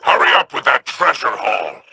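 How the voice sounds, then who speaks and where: An actor playing a greedy, smooth-talking male trader in a video game, scheming and self-satisfied. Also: mid-range voice, big pitch swings, normal speed, sharp tone, slight background noise, heavily acted.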